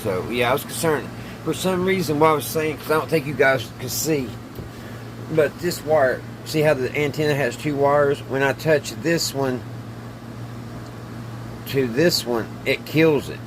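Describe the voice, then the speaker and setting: A man's voice: mid-range voice, unhurried. A man with a Southern US accent talking casually and plainly through a hands-on repair video, folksy in manner. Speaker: host of a radio repair video. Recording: steady background hum and radio noise.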